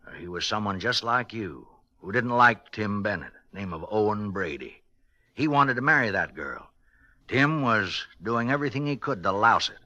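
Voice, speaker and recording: An actor playing a knowing, dry, bluntly paternal investigator in a 1950s radio drama. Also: male voice, fairly low pitch, expressive, moderate pace, bright, forceful tone, no echo, lo-fi sound. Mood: grave, explaining.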